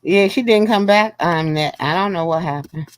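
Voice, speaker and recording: A woman's voice: on the low side, moderate pace. A woman hosting a casual live stream, joking and slangy with her guests. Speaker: livestream host.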